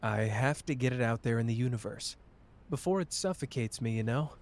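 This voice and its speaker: A man's voice: mid-range voice, lively intonation, a little fast, close to an everyday voice. A man playing a cocky, tender young male sorcerer in an intimate ASMR romance roleplay, with a playful, teasing, casual manner. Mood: nervous, earnest.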